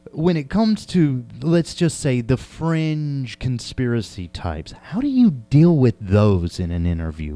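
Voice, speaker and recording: Animated male radio host, dry studio sound. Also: mid-range voice, no room sound. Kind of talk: casual live radio interview. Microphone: close dry studio microphone.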